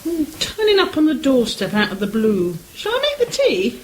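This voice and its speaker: A woman's voice: high voice, wildly swooping pitch, normal speed, darkish tone, low-quality recording. A woman playing a cheery, down-to-earth English big sister in a period radio play, breezy and teasing. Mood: friendly. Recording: faint background noise.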